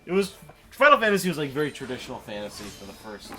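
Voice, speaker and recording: A man's voice: mid-range voice, sing-song, fast talker, bright, forceful tone. A man chatting casually through a video game, rambling and opinionated as he analyses it. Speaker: gaming show co-host. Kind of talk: casual let's play commentary chat.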